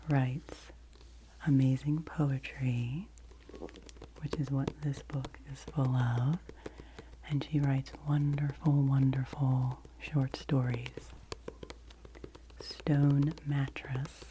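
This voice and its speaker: A woman in her forties, whispering gently and unhurriedly as she hosts a soft-spoken ASMR book review. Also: a bass voice, steady tone, slow pace, background noise. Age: 40s. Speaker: ASMR host.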